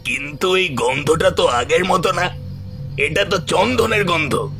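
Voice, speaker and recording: A man's voice: fairly high pitch, unhurried, sharp tone, faint music behind. An actor playing a wary, puzzled male gang member in a horror audio drama, uneasy in manner.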